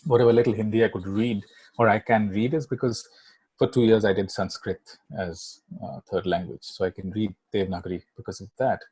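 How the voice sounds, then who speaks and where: Man, middle-aged, Indian English accent, husky voice, dry close sound, rambling guest talk. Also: lowish voice, fairly even intonation, fairly quick, a little mellow, no room sound. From Kolkata, India. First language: Bangla. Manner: rambling, many fillers and "right?" tags. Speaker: guest filmmaker. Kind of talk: casual interview chat.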